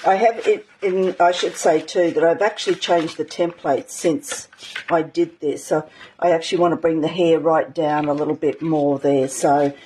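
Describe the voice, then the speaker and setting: Chatty woman with a mellow, croaky voice. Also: low voice, slight background noise, low-quality recording. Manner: chatty, rambling with many ums. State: croaky, clearing her throat. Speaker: art journaling teacher. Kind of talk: casual live craft demonstration.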